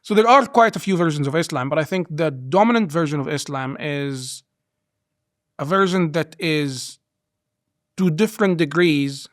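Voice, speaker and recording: A man's voice: fairly high pitch, moderate pace, dry recording, studio quality. A middle-aged man from Egypt with an American-schooled English accent, talking earnestly in an interview and filling his speech with "you know". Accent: mixed Egyptian and American-schooled English. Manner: earnest, many "you know" fillers, quiet trailing asides. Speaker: guest. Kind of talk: conversational interview.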